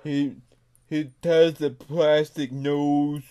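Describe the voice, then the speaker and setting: Man putting on a very slow childlike man. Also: fairly high pitch, little pitch movement, mellow. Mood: timid, plain. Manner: slow, halting, repeats words insistently. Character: childlike, confused, easily upset grown man. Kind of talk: prank phone call.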